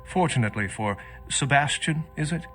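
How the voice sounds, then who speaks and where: An actor playing a wry, worldly old vampire scholar in a video game, speaking in an erudite, measured and sardonic way. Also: male voice, fairly high pitch, lively intonation, fairly bright tone, faint music behind. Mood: sardonic amusement.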